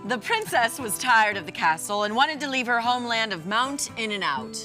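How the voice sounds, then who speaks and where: A woman with a fairly high, bright voice and very animated intonation. She sounds like a TV co-host, moving between casual banter and reading a storybook aloud. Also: moderate pace. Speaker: TV show co-host. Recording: music playing behind. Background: music.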